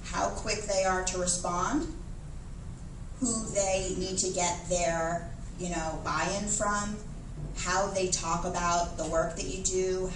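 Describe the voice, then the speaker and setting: Woman consultant on a panel, low register, heard from a distance in a roomy, noisy space. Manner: candid, conversational, many restarts. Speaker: guest speaker, independent UX consultant. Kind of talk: moderated panel talk. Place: event room with audience. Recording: echoey room, distant mic, noisy.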